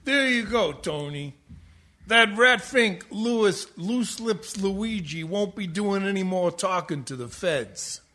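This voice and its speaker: A man's voice: high voice, expressive, slow pace, bright, forceful tone, slight background noise, full character voice. An actor playing a dim, deferential Italian-mob henchman in a radio comedy, rambling and eager to please. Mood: matter-of-fact. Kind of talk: comedic radio play acting.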